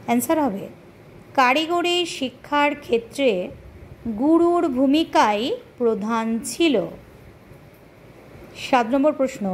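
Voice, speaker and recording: A woman teaching a question-and-answer lesson, pausing deliberately between short phrases as if dictating to pupils. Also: sits high, slight background noise. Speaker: teacher presenting a history lesson.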